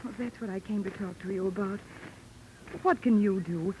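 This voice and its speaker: A woman's voice: mid-range voice, normal speed, darkish tone, slight background noise, lightly acted, rough recording. Woman playing coy, flirtatious radio femme.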